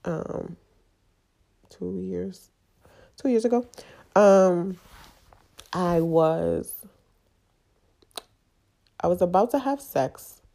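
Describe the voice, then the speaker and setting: A woman with a low, gravelly voice talks in a candid podcast monologue, stuffed up and nasal from a lingering cold. Her tone is dark and mellow, and she speaks haltingly and confessionally, with plenty of fillers. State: congested from a long cold. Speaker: podcast host.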